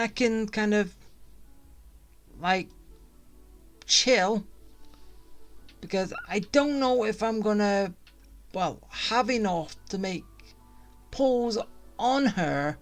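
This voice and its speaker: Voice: mid-range voice, drawn-out words, long pauses, faint music behind. A game streamer giving casual, rambling and grumbling commentary while playing. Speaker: gaming streamer.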